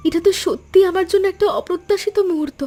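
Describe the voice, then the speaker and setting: A woman voicing an earnest, expressive young granddaughter who cooks at a food stall in an animated story. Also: very high voice, fast, faint music behind. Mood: surprised, hesitant. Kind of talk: Bengali animated story dialogue.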